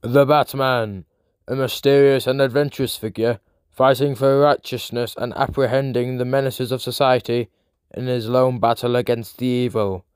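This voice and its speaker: A man narrates with dramatic, scripted delivery in a mid-pitched voice. His comic-book storytelling runs over steady background music. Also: normal speed, clear, resonant tone, dry recording, clean studio sound.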